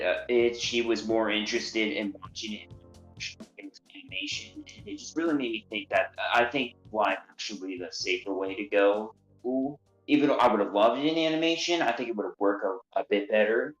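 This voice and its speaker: A man calling in to a live stream, relaxed and hesitant as he thinks aloud. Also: on the low side, echoey room, dull audio. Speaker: caller. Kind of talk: casual call-in chat.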